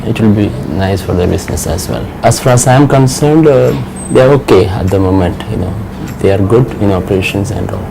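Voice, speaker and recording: A man in his thirties, a financial controller giving a measured interview testimonial in an office, often saying "you know". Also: lowish voice, gravelly, noisy background. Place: office indoors.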